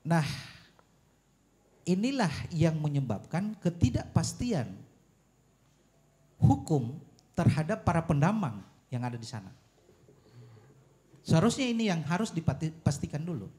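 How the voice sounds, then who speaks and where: A man making an argument at a public forum, his voice fairly high and swinging widely in pitch. He phrases things deliberately and emphatically, often posing pointed rhetorical questions. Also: big pitch swings, mellow. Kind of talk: argumentative forum speech on policy.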